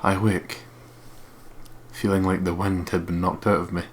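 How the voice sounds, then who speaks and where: A man reading a horror visual novel aloud as a let's play narrator, in a measured, dramatic way. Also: deep voice, flat delivery, slight background noise. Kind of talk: reading game narration aloud, with casual asides. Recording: music behind the voice. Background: game music.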